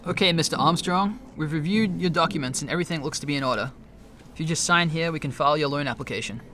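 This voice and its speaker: A man's voice: a little high, quick pace, sharp tone, slight background noise. An actor playing an ordinary, eager-to-please man in a superhero comedy radio play. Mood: businesslike.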